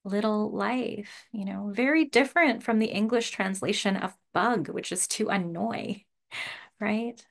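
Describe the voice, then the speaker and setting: Woman, meditation teacher, casual talk, a little gravelly, easy laughs, lots of ums, video call. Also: medium pitch, unhurried. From Canada. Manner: relaxed, laughs often, many fillers.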